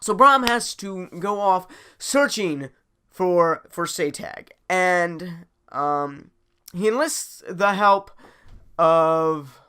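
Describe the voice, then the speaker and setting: A man casually improvising a story as host, halting and thinking aloud as he goes. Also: sits high, animated, bright, forceful tone, clear, resonant tone.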